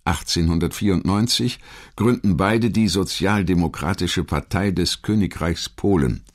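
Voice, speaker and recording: A man reading scripted biographical narration as a documentary narrator, in a measured, articulate manner. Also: deep voice.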